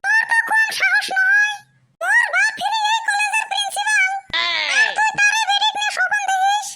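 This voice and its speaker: A man voicing a haughty, scornful young college girl in a comic cartoon, with a Lower Assam rural accent and a squeaky pitched-up effect. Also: fairly even intonation, normal speed, exaggerated voice. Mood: offended. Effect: extremely pitched-up squeaky voice. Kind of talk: comic meme cartoon dialogue. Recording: loud background noise.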